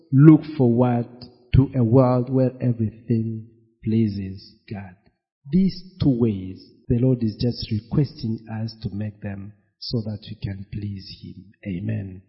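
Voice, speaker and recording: Man, pastor, slow, husky, sermon in a reverberant hall. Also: mid-range voice. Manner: slow emphatic preaching, repeats phrases. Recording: dull, echoey hall.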